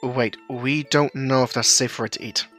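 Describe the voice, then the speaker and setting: Audio-drama role: blunt, cautious brainy young guy, forward bright tone, male narrator acting, faint music. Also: medium pitch, steady tone, normal speed, close to an everyday voice. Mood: concerned. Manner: blunt, hesitant while recalling facts.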